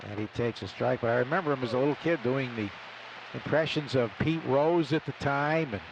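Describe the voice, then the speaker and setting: A man's voice: a little fast, vocal fry, noisy background. An older American man doing conversational live radio baseball play-by-play from a stadium booth. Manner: conversational, storytelling between pitches. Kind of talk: live radio sports commentary.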